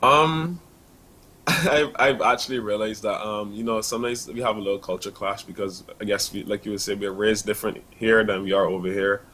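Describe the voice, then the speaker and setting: Young Barbadian man, low voice. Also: steady tone, normal speed, bright, forceful tone, low-quality recording. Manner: earnest, many fillers and restarts. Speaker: university student guest. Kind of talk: casual interview answers.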